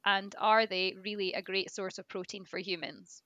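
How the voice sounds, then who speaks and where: A woman's voice: medium pitch, steady tone, moderate pace, bright, forceful tone, no room sound, lo-fi sound. A woman interviewer asking short, direct questions.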